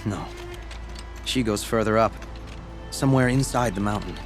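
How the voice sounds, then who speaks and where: A man's voice: fairly low pitch, moderate pace, lightly acted. A man playing an earnest young apprentice shaman. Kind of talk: video game cutscene dialogue. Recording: game audio with loud music.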